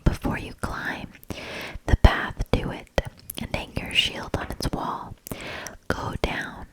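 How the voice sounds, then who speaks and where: A woman voicing a wise, good old male hermit in a storybook, in a breathy, solemn, measured whisper. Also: lightly acted, poor audio. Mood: solemn, guiding.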